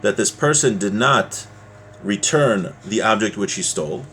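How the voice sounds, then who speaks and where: Male religious teacher, fairly deep voice, explaining in plain informal terms, slight noise behind him. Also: expressive, measured pace, a bit bright. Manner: explanatory, with everyday examples. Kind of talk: informal religious text lesson, reading and explaining.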